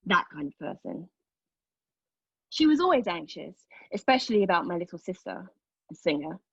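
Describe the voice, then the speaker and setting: A husky-voiced, anguished woman, pregnant and bitterly sardonic, acted by a woman at a play reading. She speaks her monologue with raw, deliberate intensity at a middle pitch. The recording is dry and slightly dull. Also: no room sound, heavily acted. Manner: intense, deliberate, emotionally exposed delivery. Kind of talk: dramatic monologue at a table read.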